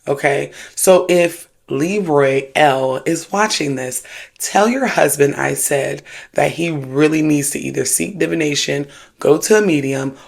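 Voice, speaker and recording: Creaky-voiced, sassy video host. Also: medium pitch, unhurried. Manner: sassy, slangy, emphatic. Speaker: YouTube host and spiritualist storyteller. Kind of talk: casual commentary with read-aloud paranormal stories.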